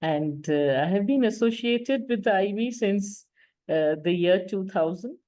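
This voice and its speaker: A woman's voice: mid-range voice, lively intonation, a little slow, dark tone. A woman teacher introducing herself hesitantly on a video call. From India. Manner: hesitant, filler-heavy.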